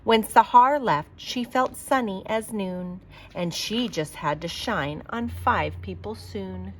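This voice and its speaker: A woman reading a children's picture book aloud like a teacher, with expressive, emphatic delivery for young listeners. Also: medium pitch, slow pace, a little hiss or hum.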